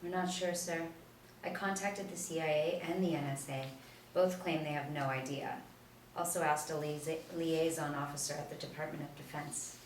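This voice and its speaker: A woman's voice: sits low, steady tone, normal speed, close to an everyday voice. Dutiful FBI agent read by a young woman, formal delivery, roomy and a little distant from the mic. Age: young adult. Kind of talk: script table reading. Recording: echoey room, distant mic, background noise.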